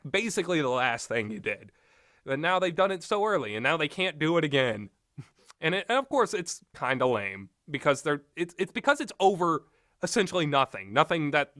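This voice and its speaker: A man with a slightly high voice talks through his opinions on games in a loose, rambling way. He repeats himself for emphasis, and the sound is close and dry. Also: fairly bright tone, no echo. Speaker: commentator reviewing visual novel games. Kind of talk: rambling, informal opinionated game commentary.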